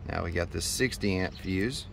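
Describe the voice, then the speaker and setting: A man's voice: deep voice, normal speed, a bit bright. American man, noisy how-to vlog. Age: 30s. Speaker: HVAC technician and video host. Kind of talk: informal instructional vlog to camera. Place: outdoors by a building and in utility spaces. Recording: clear background noise, outdoors and utility room.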